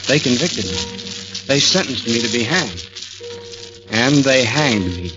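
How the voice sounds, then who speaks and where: A man's voice: mid-range voice, animated, unhurried, lightly acted. An American actor playing a haunted, plain-spoken night garage man telling his story in a 1940s radio thriller. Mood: haunted, bitter. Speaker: actor narrating and playing the lead. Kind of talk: 1940s radio thriller acting, first-person narration. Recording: dull 1940s radio recording, loud music behind.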